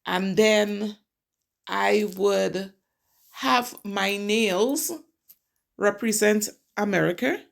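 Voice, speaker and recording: A middle-aged woman from Trinidad and Tobago talks through a nail tutorial in a loose, chatty way. She explains each step as she goes. Her voice carries a strong, gravelly fry at a middling pitch. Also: extremely slow, long pauses. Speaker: nail technician. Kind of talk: casual nail tutorial narration.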